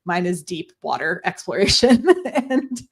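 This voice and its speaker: A woman's voice: fairly low pitch, big pitch swings, a little slow, gravelly, breathy edge. A woman co-hosting a podcast in casual, chatty banter, given to nerdy explaining. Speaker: podcast co-host.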